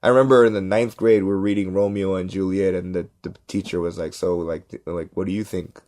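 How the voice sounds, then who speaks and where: Low-voiced man telling animated stories. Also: fairly quick. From Los Angeles, United States. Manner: animated, acts out quoted voices, repeats "right?". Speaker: podcast cohost, stand-up comedian. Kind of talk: casual storytelling.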